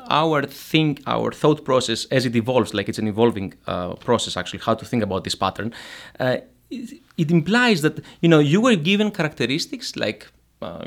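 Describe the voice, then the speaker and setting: A man's voice: mid-range voice, fairly bright tone. Guy, researcher explaining his paper, lots of uh and you know, restarts a lot. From Greece. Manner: hesitant, many fillers and restarts. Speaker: economics researcher, guest. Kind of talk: academic interview.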